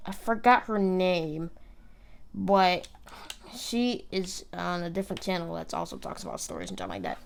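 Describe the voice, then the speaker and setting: Gravelly-voiced woman reacting casually. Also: lowish voice, expressive, moderate pace, slight background noise. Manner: casual, blunt, joking. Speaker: reaction video host.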